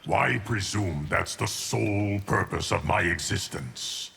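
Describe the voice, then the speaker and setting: A deep, slow voice of an ageless guardian. He is grave and patient, with a creaky edge, in video game style. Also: fairly bright tone, slight background noise, full character voice. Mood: solemn, calm. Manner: measured, grave. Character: solemn, patient, ageless male guardian of time. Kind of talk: fighting game character dialogue.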